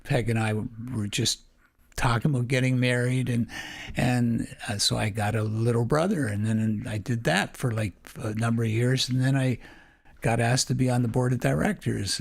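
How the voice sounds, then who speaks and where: A man's voice: mid-range voice, vocal fry. An older man, a retired teacher, telling long rambling stories as a podcast guest, often restarting and laughing. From Canada. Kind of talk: casual storytelling interview.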